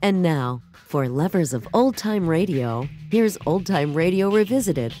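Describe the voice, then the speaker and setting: A woman's voice: lowish voice, expressive, measured pace, warm tone, soft background music. A woman reading a scripted introduction as a segment announcer. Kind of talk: scripted radio-show introduction.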